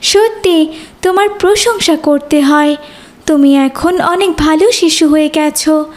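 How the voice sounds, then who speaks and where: A woman's voice: high-pitched, measured pace, a little mellow. A woman voicing a kindly, welcoming fairy in a children's cartoon, speaking in a formal, gracious way. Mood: approving, proud. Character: kindly, welcoming female fairy of a magic realm.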